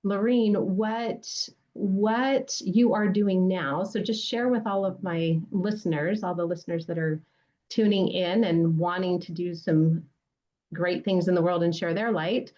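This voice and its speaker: Woman, podcast host, effusive interviewer, low voice, muffled recording. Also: fairly even intonation, a little slow. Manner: warm, effusive praise, faith-centered. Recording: dull lo-fi sound.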